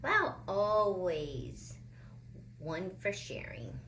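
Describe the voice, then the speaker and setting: A woman reading a children's picture book aloud with deliberate, dramatic phrasing. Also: on the low side, sing-song, fairly bright tone, slight background noise, rough recording. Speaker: children's storybook reader.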